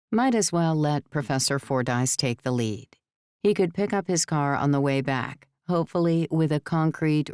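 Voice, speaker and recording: A female audiobook narrator reads scripted fiction. Her voice is very low for a woman, smooth and resonant, recorded close with no room sound. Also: a little mellow, dry recording.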